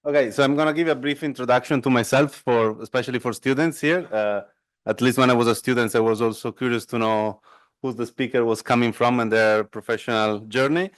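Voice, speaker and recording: A man in his thirties from northern Italy, speaking English with an Italian accent as an invited lecturer, conversational and relaxed, often ending points with "no?". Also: medium pitch, a little fast, no echo. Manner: conversational, frequent fillers and tag "no?". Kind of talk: academic lecture with casual asides. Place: lecture room at a workshop.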